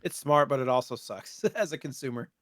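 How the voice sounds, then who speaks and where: A man's voice: mid-range voice, lively intonation, a little fast, no echo, clean studio sound. A man co-hosting a podcast, chatting casually and jokingly about gaming news. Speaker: podcast co-host.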